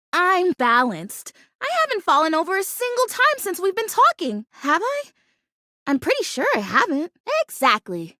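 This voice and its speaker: A woman's voice: high-pitched, a bit bright, dry recording, clean studio sound, full character voice. A woman voicing an anxious, hopeful artificial houseplant in a video game, chatty and earnest with a quirky turn. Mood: uneasy, hopeful. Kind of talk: animated video game voice acting.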